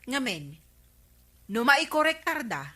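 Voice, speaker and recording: A woman's voice: high voice, sing-song, slow, sharp tone, heavily acted. A woman with an Ilocano Filipino accent playing an exasperated village councilwoman in a radio comedy drama. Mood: complaining, confiding.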